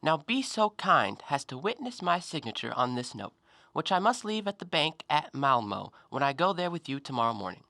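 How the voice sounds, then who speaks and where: Guy acting a sharp blunt know-it-all man in an audio drama, super lively pitch, dry close recording. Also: normal speed, vocal fry, no echo, heavily acted. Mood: brisk, dismissive. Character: blunt, observant, self-assured male intellectual interrogator. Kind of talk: stage-play dialogue in an audio drama.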